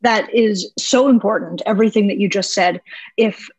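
A woman's voice: medium pitch, normal speed, darkish tone, breathy edge, rough recording. A woman hosting a music podcast, speaking enthusiastically and with emphasis to her guest and her listeners. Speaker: podcast host. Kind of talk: interview and scripted outro.

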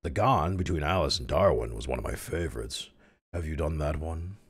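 A man voicing a suave, seductive scholar and train buff, smooth and flirtatious. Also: very deep voice, animated, a little fast, exaggerated voice. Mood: smooth, scholarly.

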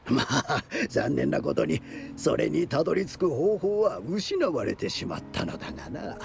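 An actor playing a theatrical storyteller of eerie legends, speaking with deliberate suspense and a gravelly creak. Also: male voice, mid-range voice, sing-song. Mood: knowing, theatrical. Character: theatrical male storyteller spinning eerie mountain legends, dramatic, knowing. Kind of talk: dramatic oral storytelling. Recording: loud music under the voice.

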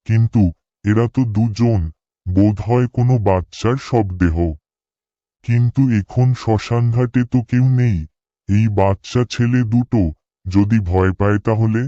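A man's voice: on the low side, steady tone, a little slow, warm tone, no echo, highs cut off, lightly acted. A man voicing a dutiful, earnest village cremation-ground worker in a horror cartoon. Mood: puzzled, uneasy. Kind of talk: cartoon dialogue and spoken thoughts.

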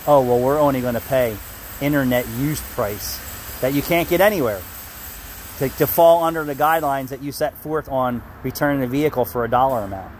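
A man's voice: medium pitch, fairly quick, a little hiss or hum. A man in his fifties, a car shop owner, ranting bluntly and swearing into a phone held at arm's length outdoors. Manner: angry, blunt, swears and rambles. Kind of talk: ranting vlog to camera. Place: outdoors beside a building.

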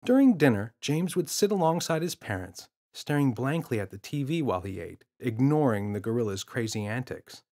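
A man narrating a children's story from a script, as an expressive storyteller. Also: medium pitch, moderate pace, hardly any pauses. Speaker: author and audiobook narrator.

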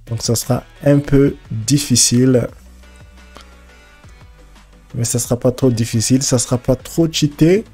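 A man with a head cold giving casual, halting commentary as he streams a football video game, often repeating his words as he thinks aloud. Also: medium pitch, dark tone, slightly rough, soft background music. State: congested with a cold. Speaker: gaming streamer.

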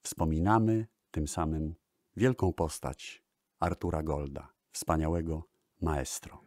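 A man hosting a radio-style music history talk in a measured storytelling manner. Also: very deep voice, steady tone, a little mellow. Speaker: host.

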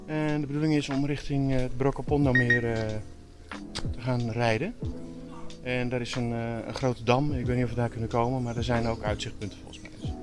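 A man's voice: medium pitch, flat delivery, fairly quick, music in the background. A man in his fifties vlogging outdoors to a handheld camera, chatty and hesitant with many fillers. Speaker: sailing vlogger. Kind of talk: casual vlog to camera. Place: outdoors, tropical village. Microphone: handheld camera at arm's length, selfie.

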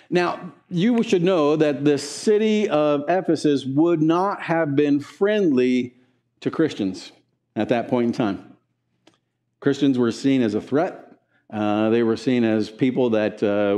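A man's voice: mid-range voice, mellow. A man in his forties, a pastor preaching a conversational teaching sermon in a church sanctuary, gesturing as he talks and asking the room questions.

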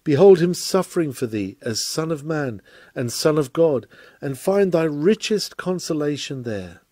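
Man, sermon reader, mellow dark tone, solemn oratory, measured delivery, dry close sound. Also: on the high side, lively intonation, dry recording. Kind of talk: scripted sermon reading.

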